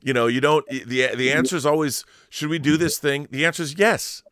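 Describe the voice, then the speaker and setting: A man's voice: a little high, brisk, fairly bright tone, dry recording, clean studio sound. A man chatting casually and enthusiastically as an interviewer, earnest and emphatic, often repeating words as he restarts his sentences.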